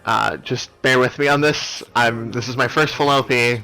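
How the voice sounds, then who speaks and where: Man, bright voice, casual rambling game commentary, hesitant, apologetic, faint music behind. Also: medium pitch, fairly even intonation, moderate pace, poor audio. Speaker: let's play host. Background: video game cutscene music.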